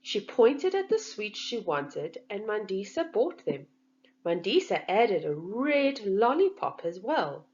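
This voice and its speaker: Woman, children's storybook narration, deliberate, animated intonation, slightly dull recording. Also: mid-range voice.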